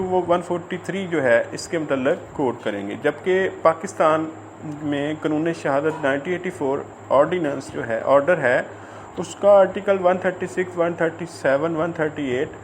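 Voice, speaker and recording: A man with a Pakistani accent explaining law in an informal lecture, haltingly and repetitively, mixing in English legal terms. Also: on the high side, a little hiss or hum, poor audio.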